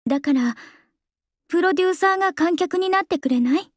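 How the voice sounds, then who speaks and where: A cool young idol singer. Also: female voice, very high-pitched, a little fast, no room sound, studio quality. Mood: calm. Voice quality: calm, composed and soft-spoken. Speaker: game character voice. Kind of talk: game voice lines. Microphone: close studio mic, dry.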